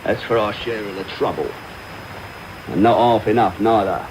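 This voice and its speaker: A man playing a brutal, threatening London thief in a radio drama, with a gruff Cockney voice. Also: lowish voice, moderate pace, close to an everyday voice. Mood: angry demanding. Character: brutal, menacing adult male thief with a dog. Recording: very noisy off-air medium wave radio recording.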